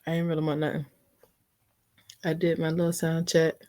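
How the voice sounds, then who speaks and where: A woman's voice: sits low, completely flat delivery, normal speed, mellow. A woman chatting casually in a rambling, unscripted vlog, sounding a little congested, on a built-in computer mic. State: mildly congested, sniffly. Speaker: vlogger practicing for a podcast. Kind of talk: casual personal vlog chat. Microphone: built-in computer mic, no external microphone.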